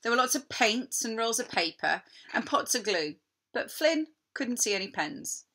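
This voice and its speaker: A woman narrating a children's story in an expressive, deliberate, dramatic storytelling manner. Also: on the high side, slight background noise. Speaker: storybook reader.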